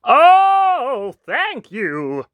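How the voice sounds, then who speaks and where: Narrator playing a high, melodramatic young prince. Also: huge pitch swings, very slow, clean tone, dry recording, narrow, tinny audio, full character voice. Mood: gushing. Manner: whiny, exclaiming, melodramatic. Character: vain, sulky young fairytale prince, theatrically dramatic.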